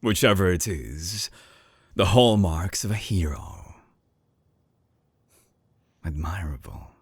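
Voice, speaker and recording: An actor playing a suave, obsessive villain scientist in an intimate binaural audio drama, teasing and menacing, with a husky voice that slips into breathy whispers. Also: male voice, sits low, animated, no room sound, heavily acted. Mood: amused, taunting. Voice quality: husky, gravelly. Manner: slow, theatrical, teasing, sliding into breathy whispers. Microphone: close binaural microphone.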